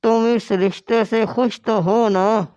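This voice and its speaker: A man voicing a gentle, hurt elderly father-in-law in a horror cartoon, with a slow, coaxing manner. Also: sits high, fairly even intonation, clear, resonant tone, voice pushed up.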